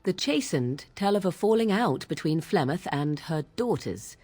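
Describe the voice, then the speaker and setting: Young game witch, aloof, sardonic, husky, expressive swings in pitch, formal old-world phrasing. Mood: cool, matter-of-fact. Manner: archaic, formal, deliberate storytelling. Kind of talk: video game character acting.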